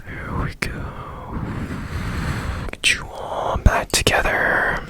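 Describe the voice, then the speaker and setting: A man playing a gently whispering, curious robot repairman. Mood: soothing, focused. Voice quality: breathy, unvoiced whisper. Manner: slow, gentle, murmuring with repeated soothing phrases. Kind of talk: whispered ASMR roleplay.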